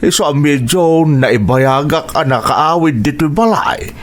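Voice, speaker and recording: A man playing a lively older village husband. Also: fairly high pitch, unhurried. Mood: chatty. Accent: Ilocano. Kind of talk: radio drama acting.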